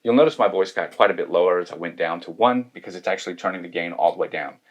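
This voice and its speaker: Male tech reviewer, lower register, methodical hands-on demo delivery. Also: quick pace, lo-fi sound. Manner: methodical, step-by-step explaining. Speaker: tech reviewer demonstrating gear. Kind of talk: hands-on product demonstration.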